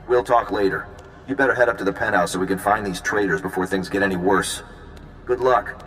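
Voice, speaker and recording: An actor playing an easygoing, smooth-talking, flirtatious male intelligence agent in a video game, casual and breezy. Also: on the low side, fairly quick, a bit bright, close to an everyday voice. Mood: displeased. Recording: long reverb, faint background noise.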